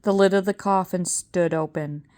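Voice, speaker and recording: A woman's voice: lowish voice, steady tone, moderate pace, darkish tone. An older woman reading a story aloud in a suspenseful, dramatic storytelling manner. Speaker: storybook reader. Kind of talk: read-aloud story narration.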